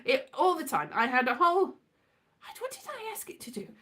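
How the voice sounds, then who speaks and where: High, animated British woman rambling comically. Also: normal speed. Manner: animated, theatrical, acts out exchanges, breaks into sing-song. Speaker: solo host of an audio show. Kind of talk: rambling comic monologue, casual anecdotes.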